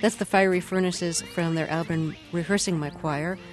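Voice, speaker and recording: A woman hosting a radio interview, speaking in a measured, thoughtful way. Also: lowish voice, steady tone, loud music behind the voice. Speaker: radio host.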